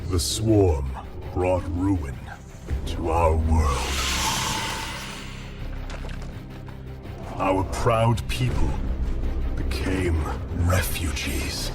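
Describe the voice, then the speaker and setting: A man playing a solemn, proud alien warrior leader, narrating a game cinematic in a grave, stately, deliberate way. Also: low voice, lively intonation, exaggerated voice. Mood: grave, mournful. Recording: loud cinematic music behind.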